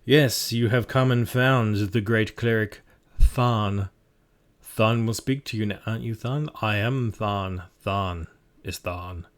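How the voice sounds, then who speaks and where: A man voicing a pompous, grandiose male cleric who talks slowly and refers to himself in the third person. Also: lowish voice.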